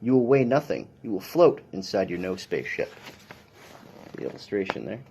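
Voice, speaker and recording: Man, lowish pitch, slightly husky, instructional children's book read-aloud. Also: big pitch swings, normal speed, darkish tone, rough recording. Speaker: police officer reading a children's book.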